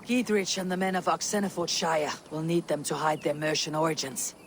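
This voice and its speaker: A man's voice: on the high side, moderate pace, a little hiss or hum, close to an everyday voice. A man playing a resolute, earnest young Viking leader in a video game. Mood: commanding. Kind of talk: cinematic video game dialogue acting.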